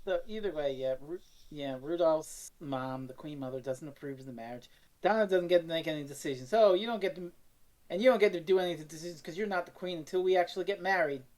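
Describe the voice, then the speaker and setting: A woman's voice: deep voice, fast talker, a little mellow. A woman co-hosting a casual recap, speaking in a blunt, sweary, informal way. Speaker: podcast co-host recapping the story. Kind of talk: casual chat.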